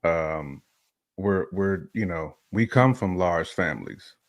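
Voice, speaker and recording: Low-voiced man talking opinions, emphatically. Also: takes it slowly. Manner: emphatic, repeats phrases for emphasis. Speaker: podcast guest panelist giving opinions. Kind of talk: casual conversational opinion talk.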